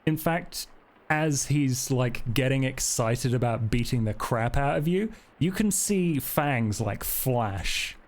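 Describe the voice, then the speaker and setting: A man's voice: medium pitch, animated, takes it slowly. A man acting as game master, improvising narration for a tabletop role-playing game.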